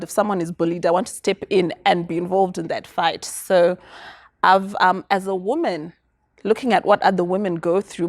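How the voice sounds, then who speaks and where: A woman's voice: fairly low pitch, no echo. A confident, articulate woman from Zimbabwe, answering interview questions about business and activism. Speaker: guest.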